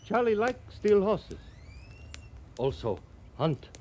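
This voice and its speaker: An actor playing a cheerful, guileless Crow scout and horse thief in a 1950s radio western, speaking halting broken English and calling himself by name. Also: male voice, sits high, very animated, dark tone, heavily acted. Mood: proud, cheerful. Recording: old radio recording with background noise.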